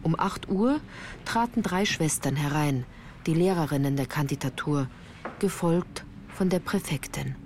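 A woman's voice: low-pitched, a little slow, darkish tone, a little hiss or hum. A woman reading scripted literary first-person narration for a radio play, composed and carefully articulated. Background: music.

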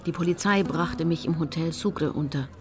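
A woman's voice: sits low, normal speed, close to an everyday voice. An actress playing a determined Nazi hunter who recounts her mission soberly and precisely, as scripted radio drama narration.

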